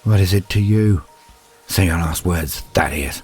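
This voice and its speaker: A man voicing an arrogant, possessed assassin in an audio story, husky, sneering and deliberate in his menace. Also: low-pitched, darkish tone, no room sound. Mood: cold, impatient.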